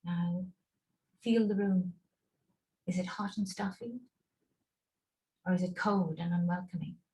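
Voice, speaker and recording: A woman leading a guided relaxation and visualization over a video call, speaking in slow, soothing, paused instructions. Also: lowish voice, fairly even intonation, mellow, poor audio.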